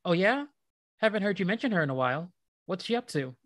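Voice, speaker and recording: Easygoing young husband, lively intonation, casual, upbeat, doting, radio play, dry close sound. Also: male voice, high voice, fast talker, dry recording. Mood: curious. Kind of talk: naturalistic radio drama dialogue.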